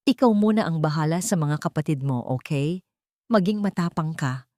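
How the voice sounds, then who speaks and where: A woman with a Filipino accent voicing a wealthy, protective father in a storybook romance, gentle and reassuring. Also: low-pitched, animated, moderate pace, no echo, studio quality. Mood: gentle, firm.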